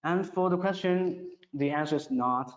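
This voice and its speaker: A man who is a non-native English speaker, answering audience questions as a webinar presenter, haltingly, in simple phrases. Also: fairly high pitch. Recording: muffled audio in an echoey, reverberant room.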